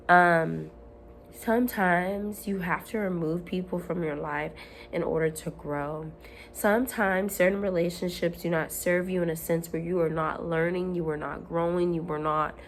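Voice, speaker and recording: A woman at home, hoarse while her voice comes back, giving an earnest, rambling motivational talk to camera with frequent ums and restarts. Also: fairly low pitch, slight background noise. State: hoarse, recovering from lost voice. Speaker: self-help vlogger.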